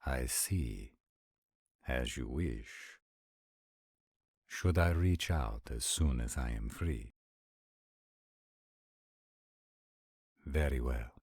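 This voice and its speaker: A formal vampire prince played by a man in a roleplay audio drama. His voice is extremely low and husky, and he speaks slowly in stiff, measured phrases. He sounds dutiful and patient, with a weary edge, and the recording is close and dry. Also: a little mellow, dry recording, heavily acted. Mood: resigned, courteous.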